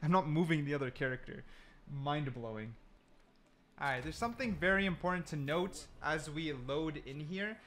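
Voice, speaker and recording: A man's voice: on the high side, moderate pace, a bit bright, slight background noise. A man giving casual, slangy gameplay commentary, thinking aloud as he goes. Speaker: gaming content creator.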